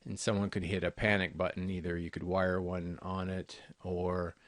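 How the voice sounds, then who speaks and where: Deep-voiced man explaining products, dry sound. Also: little pitch movement, normal speed, no echo. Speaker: intercom company salesperson presenting products. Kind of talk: unscripted product walkthrough over screen recording.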